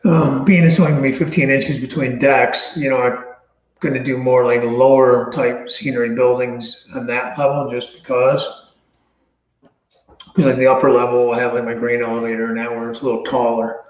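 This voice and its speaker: A man's voice: medium pitch, little pitch movement, normal speed. Man chatting casually in a roomy space. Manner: thinks out loud, meandering. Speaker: show host. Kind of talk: casual chat. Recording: roomy, slightly dull audio.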